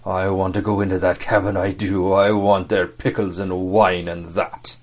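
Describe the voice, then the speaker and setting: A man voicing a gruff, grumbling pirate coxswain for an audiobook, with a growl and blunt, rough sailor talk. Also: low voice, flat delivery, moderate pace, darkish tone. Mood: impatient. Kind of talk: audiobook character acting.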